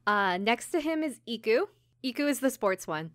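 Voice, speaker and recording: A woman's voice: a little high, animated, moderate pace, no echo, clean studio sound. A woman co-hosting a podcast in casual chat, explaining things in a giggly way. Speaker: podcast co-host. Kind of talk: casual chat about idol anime.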